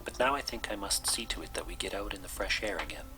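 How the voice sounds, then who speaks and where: A man voicing a kindly, practical troll mother in a storybook, gentle, polite and reassuring. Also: sits low, lively intonation, quick pace, rough recording. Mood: calm, practical.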